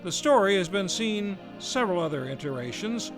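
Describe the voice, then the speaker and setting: High-pitched theatrical male radio show announcer. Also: animated, normal speed, over music. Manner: theatrical, grandiose showman delivery. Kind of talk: scripted old-time radio introduction.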